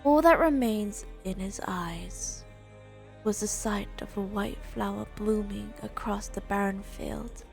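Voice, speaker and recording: A woman streamer casually playing through a game live, reading its text aloud in a halting, measured way. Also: mid-range voice, gravelly. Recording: game music behind voice. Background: video game music.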